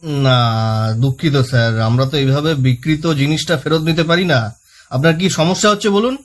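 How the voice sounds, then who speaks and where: A man's voice: a little high, normal speed, lightly acted. A man playing a polite, puzzled electrical shop employee who questions a complaining customer in a comic phone skit.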